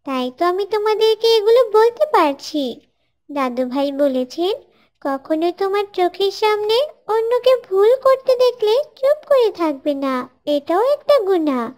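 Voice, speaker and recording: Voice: very high-pitched, a little mellow. A performer voicing a pious little schoolgirl in a cartoon, earnestly teaching others in a careful, preachy way. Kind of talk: scripted moral dialogue for an Islamic cartoon.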